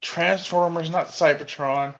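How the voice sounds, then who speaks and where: A man's voice: on the high side, measured pace, lo-fi sound. A man in his thirties chatting casually as a co-host, rambling and correcting himself as he goes. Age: 30s. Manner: rambling, self-correcting, excitable. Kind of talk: casual chat.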